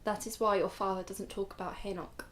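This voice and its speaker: A woman playing a dignified grandmother telling her life story in a radio drama, measured and solemn. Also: medium pitch, fairly even intonation, a bit bright, a little hiss or hum, natural voice. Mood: solemn, reflective. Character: weary, dignified Ethiopian-Israeli grandmother recalling her flight.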